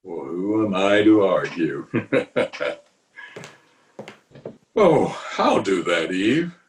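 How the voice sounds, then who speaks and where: A pompous, flirtatious middle-aged town official from a 1940s-style radio comedy, acted by a man. The delivery is theatrical, unhurried and full of swooping pitch. It comes through a roomy, echoing video-call recording. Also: sing-song, a little hiss or hum, exaggerated voice. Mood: coaxing, romantic. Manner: blustering, theatrical, wheedling.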